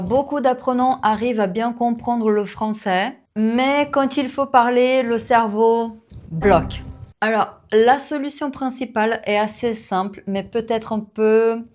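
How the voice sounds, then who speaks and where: A woman who teaches French and hosts her own channel, answering viewer questions in a relaxed Q&A, with many fillers and small laughs. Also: mid-range voice. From Brazil. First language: Portuguese. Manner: slow, warm, relaxed, with frequent fillers and little laughs. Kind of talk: casual Q&A to camera.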